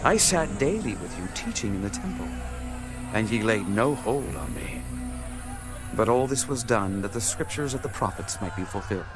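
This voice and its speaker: A man's voice: fairly low pitch, very animated, normal speed. Guy acting as a solemn resolute holy teacher, formal bible drama voice, music behind it. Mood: firm rebuke. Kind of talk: dramatized scripture reading. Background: background music.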